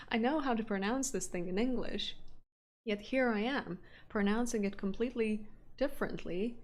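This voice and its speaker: A woman chatting casually and rambling with frequent laughs as she paints on a live stream. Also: on the high side. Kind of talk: casual chat while painting.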